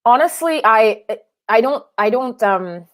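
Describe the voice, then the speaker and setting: A woman's voice: on the high side, expressive, measured pace. A young adult woman filmmaker whose first language is French, answering interview questions thoughtfully, with many restarts and fillers. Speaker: filmmaker guest.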